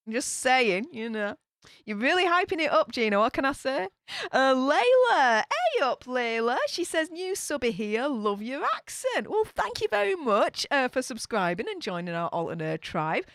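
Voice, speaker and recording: A woman's voice: a little high, a bit bright, dry recording, clean studio sound. A young woman with a Yorkshire accent, chatting casually on a live stream as she reads viewers' comments aloud and laughs often. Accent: Yorkshire English. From Yorkshire, England. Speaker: YouTube streamer reading live chat.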